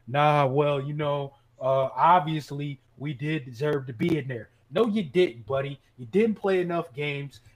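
A man co-hosting a sports podcast, arguing casually and insistently in slangy language and repeating himself. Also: on the high side. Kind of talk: casual, argumentative sports chat.

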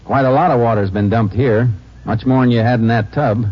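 An actor playing a firm, shrewd Texas Ranger investigator in a 1950s radio drama, terse and authoritative. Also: male voice, mid-range voice, fairly quick, natural voice. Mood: shrewd, decisive. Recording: muffled old radio recording with heavy noise.

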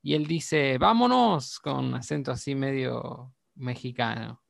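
A man's voice: mid-range voice, unhurried, vocal fry, no room sound, studio quality. An Argentine man, chatting casually and agreeing often. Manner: agreeable, lots of "claro" and "sí". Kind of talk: casual chat.

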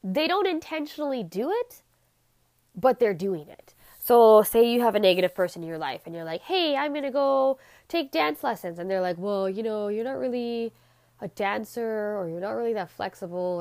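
Woman, stuffed-up and a bit raspy, casual motivational vlogger, mid pitch, dead-dry room. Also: normal speed, dry recording. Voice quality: slightly raspy. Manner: chatty, acts out quoted people in animated voices. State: stuffy, congested nose.

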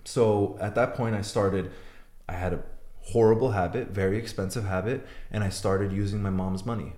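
A man's voice: fairly low pitch, fairly even intonation, moderate pace, mellow, echoey room. A young man, born in Iran, telling his story as a podcast guest, blunt and swearing freely. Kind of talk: podcast interview.

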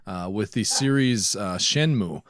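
A man's voice: on the low side, expressive, unhurried, no echo, studio quality. A jovial man hosting a casual podcast chat about video games and steering the discussion. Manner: jovial, laughs readily. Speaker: podcast host leading the discussion.

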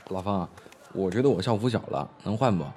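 A young man acting a breezy, teasing high school student in a modern audio drama, talking casually and playfully. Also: brisk, darkish tone, a little hiss or hum, close to an everyday voice.

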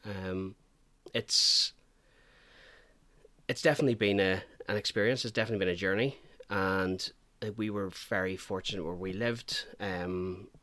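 Bright, dry-sounding man. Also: low-pitched, steady tone, normal speed, no room sound. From Northern Ireland. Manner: earnest, hesitant with fillers. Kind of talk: conversational interview.

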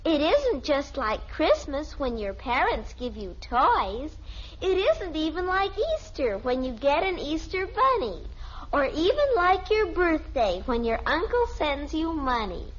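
A woman playing an earnest, prim nine-year-old American girl in a 1950s radio sitcom, speaking with deliberate care. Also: high-pitched, very animated. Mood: dutiful, reciting. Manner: deliberate schoolroom recital. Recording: muffled, slightly noisy vintage radio recording.

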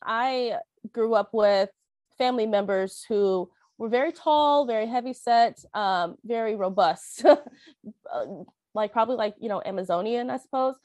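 A woman's voice: fairly high pitch, normal speed, a little mellow, dry recording. A young woman sharing a personal story in a hesitant, reflective way, with many fillers and restarts. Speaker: interviewee.

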